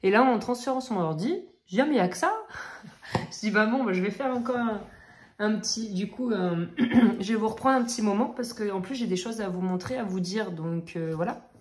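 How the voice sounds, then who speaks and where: A woman's voice: medium pitch, a little fast. Rambling French woman with a cold. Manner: rambling, many fillers and restarts, self-interrupting. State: has a cold. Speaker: coloring vlogger. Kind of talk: casual chatty vlog. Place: home office room.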